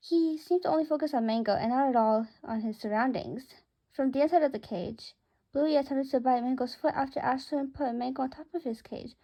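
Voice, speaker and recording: A woman's voice: high voice, brisk, warm tone, poor audio. A woman reading a first-person children's story aloud as its narrator.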